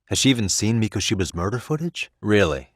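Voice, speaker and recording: A man's voice: lowish voice, big pitch swings, fast, no echo. A man with an American accent voicing an easygoing, principled detective in a video game, talking in a dry, wry way as he reasons things through. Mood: casual, wry. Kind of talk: video game voice acting, conversational dialogue.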